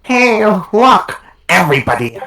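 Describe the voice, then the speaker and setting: A man's voice: high voice, big pitch swings, measured pace, vocal fry, bright, forceful tone, roomy sound, voice pushed up, heavily acted. A man voicing a smug, obnoxious male teenage cartoon echidna in a fan audio drama. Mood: smug, boastful. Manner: obnoxious, boastful.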